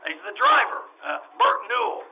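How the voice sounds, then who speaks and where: A man's voice: very high-pitched, expressive, moderate pace, vocal fry, lo-fi sound, heavily acted. A man playing a flustered, folksy animal-show owner in old-time radio drama style, stammering and rambling as he repeats himself. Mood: flustered, chatty. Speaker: stage actor in radio troupe. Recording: faint noise, live radio re-enactment.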